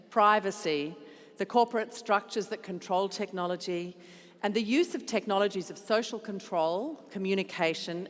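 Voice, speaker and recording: A woman chairing a festival session, introducing the speaker in a measured way with small restarts. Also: mid-range voice, in a large hall. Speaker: event chair.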